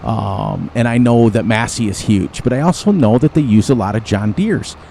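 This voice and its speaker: A man's voice: lowish voice, normal speed, creaky, mellow, a little hiss or hum. Rambling, chuckling man. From United States. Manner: rambling, chatty, chuckles often. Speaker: gaming channel host. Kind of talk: casual gameplay commentary.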